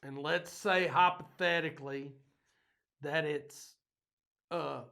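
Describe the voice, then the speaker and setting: Slow-talking man ranting. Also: a little high, steady tone, vocal fry, bright, forceful tone. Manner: ranting, blunt, self-deprecating. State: slightly hoarse. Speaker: podcast host. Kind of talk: casual rambling monologue.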